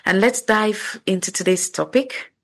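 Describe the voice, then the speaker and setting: A woman's voice: medium pitch, a little slow. A woman hosting an interview podcast, welcoming her guest with effusive thanks and putting questions to her. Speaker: podcast host.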